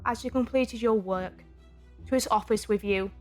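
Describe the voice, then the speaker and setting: A woman's voice: on the high side, normal speed, soft background music, close to an everyday voice. Stern, cold factory forewoman, acted by a woman. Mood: cold authority. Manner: strict, formal, clipped. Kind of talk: audio drama acting.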